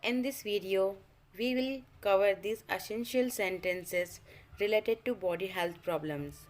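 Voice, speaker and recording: Female English tutor, unhurried, clear sentence drills for beginners. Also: mid-range voice. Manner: reads each sentence twice, measured and deliberate. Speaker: English teacher. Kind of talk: slow repeat-after-me vocabulary drill.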